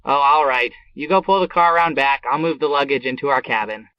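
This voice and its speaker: A man's voice: medium pitch, a little fast, close to an everyday voice. A man playing a grumbling, joking businessman sidekick in an old-time radio drama. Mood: casual, joking. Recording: muffled old-time radio sound, faint music.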